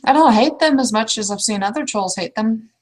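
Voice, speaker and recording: A woman's voice: a little high, fairly quick, pitched above the performer's own voice, close to an everyday voice. A woman playing an opinionated, experienced troll mentor in an improvised roleplay, speaking bluntly and informally as she advises. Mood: disapproving. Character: opinionated, world-wary elder troll mentor, advising a newcomer. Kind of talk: improvised in-character roleplay dialogue.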